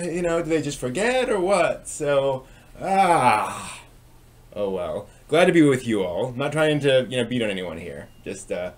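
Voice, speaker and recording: A man hosting a live stream in a casual, chatty way, with a halting, hesitant delivery that tends to trail off. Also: on the high side.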